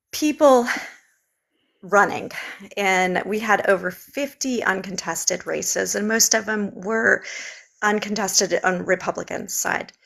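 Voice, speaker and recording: A woman's voice: medium pitch, vocal fry, pushed and bright. A woman with a Southern accent, originally from Memphis, earnestly answering interview questions as a political candidate, with many ums in long, run-on sentences. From Memphis, Tennessee. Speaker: state legislative candidate.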